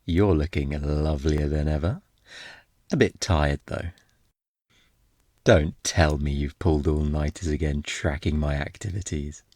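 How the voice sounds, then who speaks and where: A man playing an obnoxiously flirty, smug thief in an intimate ASMR roleplay, teasing in a playful, theatrical, drawn-out way. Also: extremely low pitch, darkish tone, no echo, clean studio sound, exaggerated voice.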